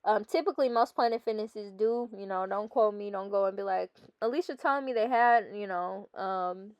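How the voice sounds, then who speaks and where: A woman's voice: medium pitch, dry recording, muffled recording. A young woman with a stuffy nose, chatting casually as a fitness vlogger over her workout footage and often calling her viewers "guys.".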